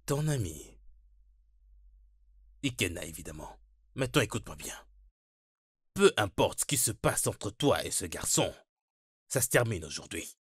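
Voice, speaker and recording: A man's voice: on the low side, very animated, a little slow, pauses often, fairly bright tone, husky, no echo, clean studio sound, exaggerated voice. An actor playing a stern, menacing middle-aged father, domineering and confrontational, in a dubbed melodrama. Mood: stern, commanding.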